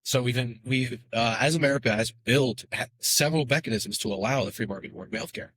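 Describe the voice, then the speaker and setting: A man speaking as a guest in a casual podcast interview, explaining in a rapid, animated way with quick asides. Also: medium pitch, no echo, poor audio.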